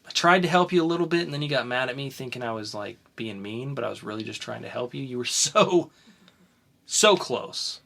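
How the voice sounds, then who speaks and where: A young man chatting casually on a podcast, playful and teasing as he quizzes his co-host. His voice sits at an ordinary middle pitch, and he talks in a relaxed, everyday way. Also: brisk, vocal fry.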